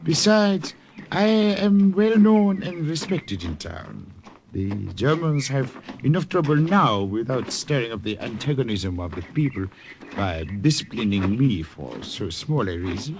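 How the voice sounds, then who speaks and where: Wily, courteous priest, animated mid-range voice, faintly noisy vintage radio recording. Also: male voice, mid-range voice. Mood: calm, assured. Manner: measured, courteous, unruffled. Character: calm, wily, kindly French priest. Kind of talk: old-time radio drama acting.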